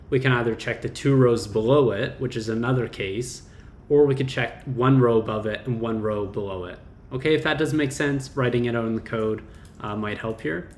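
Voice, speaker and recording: A young man casually walking through a coding problem, thinking aloud with fillers and small self-corrections as he types. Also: mid-range voice. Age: young adult. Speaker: coding tutor. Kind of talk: casual screen-share coding walkthrough. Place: small indoor room. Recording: roomy echo, faint keyboard noise.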